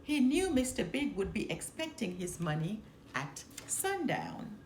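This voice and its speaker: A woman reading a children's storybook aloud with expressive, deliberate phrasing. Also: mid-range voice. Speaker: storybook reader. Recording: roomy echo, some background noise.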